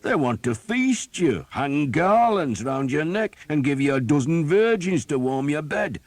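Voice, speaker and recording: A man voicing a blunt, foul-mouthed male archer captain who shouts and curses, in a dry, close recording. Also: fairly low pitch, moderate pace, no room sound, pitched above the performer's own voice, close to an everyday voice. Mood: sarcastic. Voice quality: shouting, cursing.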